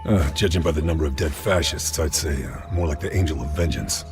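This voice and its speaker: A man's voice: very deep voice, a little fast, over music. A man with an American accent playing a stoic, wry Allied wartime sniper in a game cutscene, speaking in a dry, understated way. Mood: dry, probing. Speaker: video game protagonist. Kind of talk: dramatic game cutscene acting.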